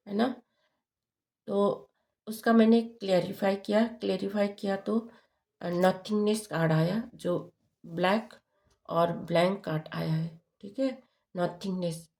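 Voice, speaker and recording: A woman talks through a tarot reading in a low, gravelly voice worn hoarse by a sore throat. She speaks very slowly and haltingly, pausing on fillers and tag questions. She sounds like she is leading viewers through the cards one at a time. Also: dark tone. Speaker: tarot reader.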